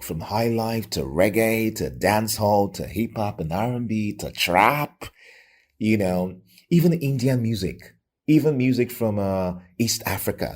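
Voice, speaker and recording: Deep husky nigerian guy, radio presenter type, telling his story slow and emphatic. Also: darkish tone. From Lagos, Nigeria. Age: middle-aged. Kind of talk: reflective personal storytelling in an interview.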